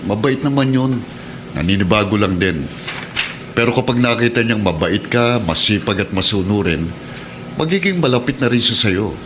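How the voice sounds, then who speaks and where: An actor playing a kindly, encouraging uncle in a Filipino radio drama, gentle and reassuring. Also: male voice, on the low side, expressive, normal speed, noisy background, close to an everyday voice. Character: kindly, encouraging uncle, benefactor of a poor boy.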